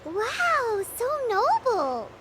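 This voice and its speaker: Squeaky, very high little fairy girl. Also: huge pitch swings, drawn-out words, background noise, full character voice. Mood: amazed. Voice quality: squeaky, childlike. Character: Paimon, tiny excitable fairy girl companion. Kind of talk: English video game voice acting. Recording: background music under the voice.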